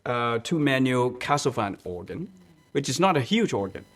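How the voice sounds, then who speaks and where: Man, earnest storyteller, mid pitch, halting restarts. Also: sing-song. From Hong Kong. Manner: earnest, restarts and repeats words, slows on key points. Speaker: church music director. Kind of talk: interview, personal storytelling.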